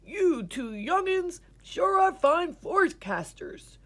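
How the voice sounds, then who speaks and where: A woman's voice: very high voice, big pitch swings, slow, a bit bright, pitched above the performer's own voice, heavily acted. A woman voicing a folksy, hearty elderly grandpa groundhog in a storybook. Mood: proud, hearty. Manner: folksy old-timer exclamations, drawn out.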